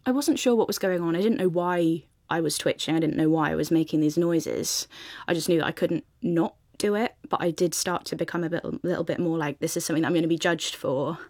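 Low, creaky British woman. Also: brisk, a little mellow, dry recording, studio quality. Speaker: interview guest. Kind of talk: conversational personal account.

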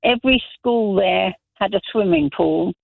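A man's voice: high-pitched, a little slow, gravelly, no echo. Middle-aged man on a tinny phone line. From Zambia. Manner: rambling anecdotes, many restarts. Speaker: radio caller. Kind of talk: casual phone-in chat. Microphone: telephone.

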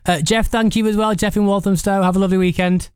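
A man's voice: sits high, steady tone, smooth, no echo. A man from South Yorkshire with a South Yorkshire accent, hosting a radio phone-in and chatting casually and jokily with callers. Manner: friendly, chatty, quick to joke. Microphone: studio microphone.

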